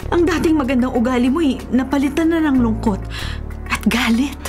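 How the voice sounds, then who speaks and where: An actress plays a concerned mother in a Filipino radio drama. Her fairly high voice is earnest and sorrowful, pressing gently but insistently, over soft music. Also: normal speed, close to an everyday voice.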